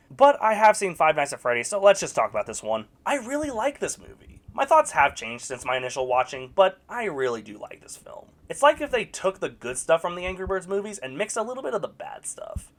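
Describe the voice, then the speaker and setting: Young adult reviewer, mid-pitched voice that swings widely, sarcastic with blunt verdicts; suits scripted critique. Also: very animated, quick pace, few pauses. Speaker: video reviewer. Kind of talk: scripted movie review commentary.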